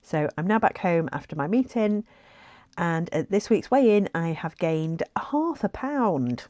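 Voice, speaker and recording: A woman's voice: fairly low pitch, very animated, measured pace, background noise. A woman talking casually to camera as a weight-loss vlogger, chatty and reflective with frequent ums and light laughs.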